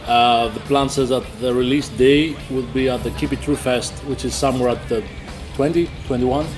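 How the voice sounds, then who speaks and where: Give me a man from a band who talks through his band's plans in an interview, speaking halting English padded with fillers. His voice sits at a mid pitch, and clear music runs underneath him the whole time. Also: steady tone. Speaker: band member. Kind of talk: casual interview chat.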